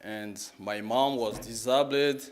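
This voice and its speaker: A man in his twenties with a Congolese accent giving a personal testimony as a public talk, halting and repeating his phrases in plain words. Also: mid-range voice, steady tone. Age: 20s. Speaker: guest speaker at a church, sharing testimony.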